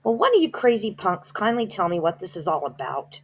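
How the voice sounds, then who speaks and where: A woman voicing a rude, greedy young boy who talks loud and whiny in a storybook reading. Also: lowish voice, wildly swooping pitch, moderate pace, creaky, exaggerated voice. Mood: demanding.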